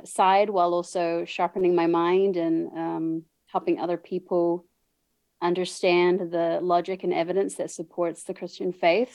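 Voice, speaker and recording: Kiwi woman, thirties, guest on a video call, low voice, chatty with frequent laughs, dry sound. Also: darkish tone, no echo. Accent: New Zealand. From New Zealand. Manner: chatty, laughs often, restarts and repeats words. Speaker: podcast guest. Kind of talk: casual interview chat.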